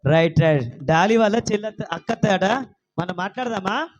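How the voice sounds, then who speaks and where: High-voiced man hosting a children's puppet show. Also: lively intonation, a little fast, dull audio. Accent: Telangana. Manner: questioning, repeats back, coaxing. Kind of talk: playful banter with a puppet and children.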